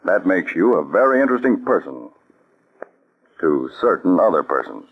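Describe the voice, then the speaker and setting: A firm, commanding male spy chief in a vintage radio drama. He speaks earnestly with authority, in a very deep voice. The sound is dull and muffled like an old broadcast. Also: very animated, normal speed, breathy edge, full character voice. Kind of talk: 1940s radio acting.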